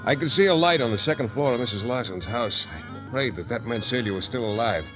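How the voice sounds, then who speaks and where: An actor playing a tough, wry private detective, narrating and talking in clipped, intense 1940s radio style. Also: male voice, mid-range voice, expressive, a little fast, over music, natural voice. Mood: urgent, grim. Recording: 1940s radio broadcast recording.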